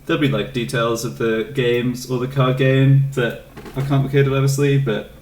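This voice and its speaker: A man's voice: medium pitch, level tone, moderate pace, a little mellow, roomy sound, distant mic, slight background noise. A young man from England with an English accent, casually chatting with his live chat while streaming a game, rambling and answering questions.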